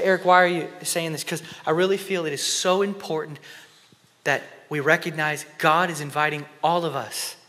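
Man in his thirties preaching from a church stage with a headset mic, bright voice, passionate, drops to whispers, hall reverb. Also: fairly high pitch. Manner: impassioned, shifts between loud emphasis and hushed whispered prayer. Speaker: conference speaker. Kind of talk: sermon. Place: church stage before an audience.

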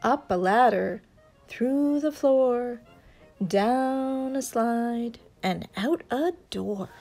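A woman narrating a picture book aloud in a deliberate, suspenseful storytelling manner for young children. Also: on the high side, a little mellow. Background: music.

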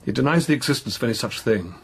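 An actor playing a troubled, earnest priest in a radio drama, hesitant and full of questions. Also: male voice, mid-range voice, animated, very fast, gravelly, fairly bright tone, husky, full character voice. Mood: troubled, questioning.

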